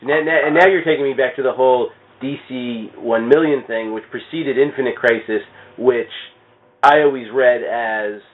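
A man chatting casually about comics, thinking aloud in an animated, rambling way and repeating his phrases as he goes. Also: medium pitch, moderate pace, narrow, tinny audio. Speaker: podcast guest. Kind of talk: casual comics fan chat.

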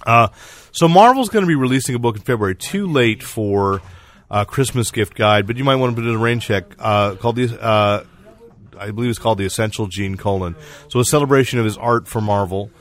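A man hosting a casual comics podcast, chatting in a halting, digressive way with some teasing banter. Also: fairly low pitch. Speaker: podcast host and editor-in-chief.

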